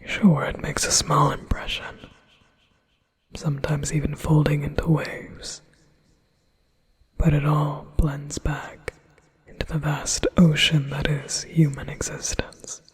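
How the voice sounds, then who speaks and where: A man's voice: a little high, level tone, takes it slowly, breathy edge, exaggerated voice, low-quality recording. Man whispering as a silky possessive demon. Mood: coaxing. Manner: soft intimate whisper, silky and persuasive. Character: flattering possessive male demon, calm and coaxing. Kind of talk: whispered ASMR roleplay.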